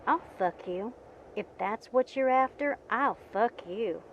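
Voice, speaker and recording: A woman's voice: fairly high pitch, expressive, unhurried. A woman playing a blunt, matter-of-fact young survivor in a motion comic, speaking frankly. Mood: frank, casual. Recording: dull lo-fi audio, faint background noise.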